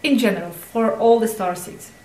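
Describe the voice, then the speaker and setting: A woman's voice: a little high, normal speed, darkish tone, echoey room, a little hiss or hum, rough recording. A woman interviewer asking probing spiritual questions, repeating and rephrasing them.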